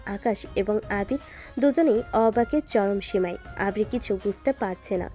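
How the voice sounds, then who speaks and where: A woman's voice: mid-range voice, a little slow, warm tone, faint music behind. A woman narrator reading a scripted dramatic story.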